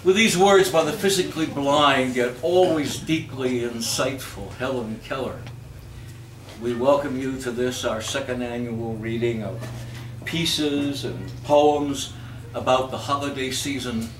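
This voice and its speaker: A man reading literary pieces aloud as a host, with measured, declamatory, deliberate phrasing. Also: medium pitch. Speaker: reader and host of a holiday readers theater. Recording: echoey room, distant mic, faint noise.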